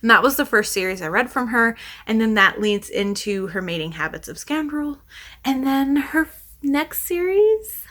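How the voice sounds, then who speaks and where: A woman's voice: on the high side, very animated, normal speed, pushed and bright. A woman talking as a book vlogger in a casual, chatty way, meandering and interrupting herself as she goes. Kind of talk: casual chat to camera, rambling.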